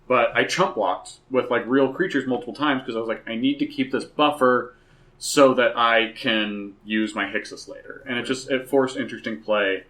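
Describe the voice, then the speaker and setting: Bright, emphatic man swearing freely. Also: mid-range voice, moderate pace, rough recording. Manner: profane, emphatic, animated storytelling. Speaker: podcast co-host. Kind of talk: casual, excited chat about a card game.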